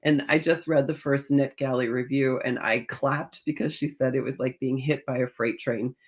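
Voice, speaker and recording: A woman speaking as a guest author in a conversational interview, thinking aloud as she tells stories in an animated manner. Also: rumbling low, normal speed. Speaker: guest author discussing own novels.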